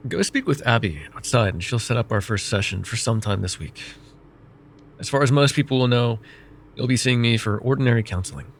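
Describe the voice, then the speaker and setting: A man playing a gravelly, vain mage counselor. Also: medium pitch, slight background noise, close to an everyday voice. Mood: calm reassuring. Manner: calm, measured, gently teasing. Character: vain, wry male mage counselor, middle-aged. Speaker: voice actor. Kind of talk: ASMR roleplay.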